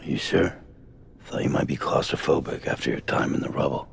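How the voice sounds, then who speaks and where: A man playing a gruff, reserved character in a video game, with a gravelly voice and understated delivery. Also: rumbling low, fairly quick, a little hiss or hum, heavily acted. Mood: guarded concern.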